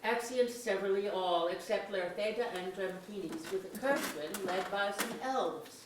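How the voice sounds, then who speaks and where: A woman's voice: medium pitch, moderate pace. Distant woman reading stage directions, very echoey. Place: museum auditorium. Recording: very echoey auditorium, far off, faint noise.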